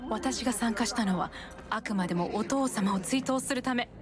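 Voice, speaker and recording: A woman as a poised, fast-speaking proud heiress. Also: a little high, over music, close to an everyday voice. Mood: defiant. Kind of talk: cinematic video game acting.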